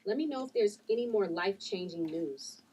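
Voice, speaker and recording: A woman's voice: mid-range voice, lively intonation, a little fast, mellow, husky. A woman acting a guarded, wry heroine with a secret in a script table read.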